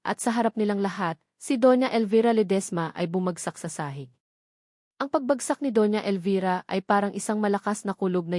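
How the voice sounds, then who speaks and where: A woman's voice: medium pitch, a little mellow, no echo, clean studio sound. A woman narrator reading a scripted dramatic story.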